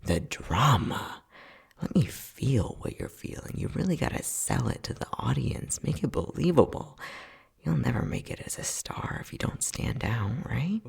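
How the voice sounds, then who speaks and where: A man's voice: medium pitch, big pitch swings, unhurried, creaky, breathy edge, full character voice. A man playing an unhinged, bossy scientist who teases and condescends in a sardonic ASMR roleplay. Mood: mocking, theatrical. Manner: sardonic, teasing, condescending, with frequent sighs.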